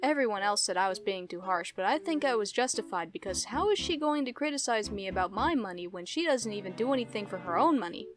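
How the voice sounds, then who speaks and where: A woman's voice: mid-range voice, expressive, fast talker, few pauses, music in the background. A woman in her twenties chatting casually as a YouTuber in a reaction vlog. She laughs often, rambles and pokes fun at herself. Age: 20s. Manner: giggly, rambling, self-deprecating.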